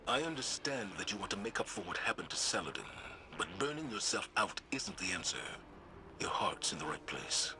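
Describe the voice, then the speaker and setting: A man playing a stern but caring, weary commander in a video game, measured and authoritative. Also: lowish voice, sing-song. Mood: firm concern. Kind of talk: dramatic video game acting. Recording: clear ambient noise behind.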